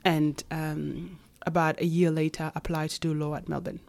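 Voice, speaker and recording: Soft-spoken woman, halting speech. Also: low-pitched, level tone, creaky. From Kenya. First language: Nuer. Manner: hesitant, many fillers and restarts. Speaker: guest. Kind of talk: interview conversation.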